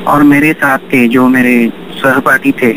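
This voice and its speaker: A man's voice: mid-range voice, flat delivery, measured pace, fairly bright tone, low-quality recording. Man answering interview questions, faint noise. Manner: mixes English terms into speech. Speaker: interview guest, university coordinator. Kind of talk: interview answers, explaining own experiences.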